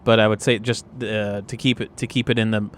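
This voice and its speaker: A man's voice: fairly low pitch, little pitch movement, a little fast, slight background noise. A man chatting casually and improvising as a player at a tabletop role-playing game, sometimes swearing.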